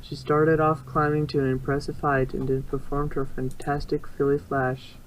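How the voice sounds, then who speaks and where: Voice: mid-range voice, slight background noise, poor audio. A narrator reading a story aloud in an amateur fan fiction reading.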